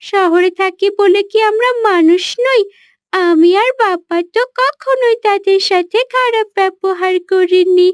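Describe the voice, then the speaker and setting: A woman's voice: very high voice, a little slow, no room sound, studio quality. A woman voicing a polite, earnest young girl in a cartoon, with a questioning manner. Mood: hurt, puzzled. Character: polite, earnest young city girl, hurt but friendly. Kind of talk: cartoon character dialogue.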